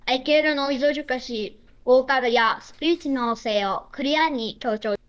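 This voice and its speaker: A woman delivers scripted sample narration at a brisk clip. Her voice is smooth and clean, sitting somewhat high. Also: a bit bright, dull audio.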